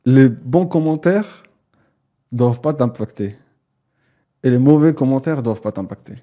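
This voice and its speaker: A young man speaking French as a learned language, with a dark, mellow tone and a slightly husky edge. He chats as a podcast guest in an animated way, laughing often and restarting his sentences as he goes. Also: medium pitch, unhurried, lo-fi sound. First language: not French. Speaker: guest, content creator. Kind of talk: casual podcast conversation.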